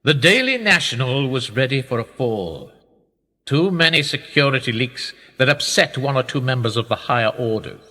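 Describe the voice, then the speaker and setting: An actor playing an urbane, condescending older aristocratic power broker in a radio play. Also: male voice, medium pitch, expressive, moderate pace, sharp tone. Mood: urbane, amused. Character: smooth, patronising older British aristocratic power broker, knighted. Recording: long reverberant hall echo.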